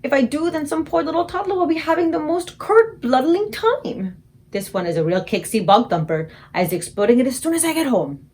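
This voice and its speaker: A woman voicing an excitable, kindly old male giant who mangles grammar and invents words. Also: high-pitched, fairly quick, pitched above the performer's own voice. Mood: agitated.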